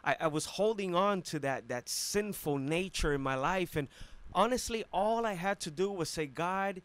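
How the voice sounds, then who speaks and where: A man's voice: high voice, lively intonation, moderate pace. A man co-hosting a radio show with preacherly banter, rhetorical questions and interjected amens. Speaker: radio co-host.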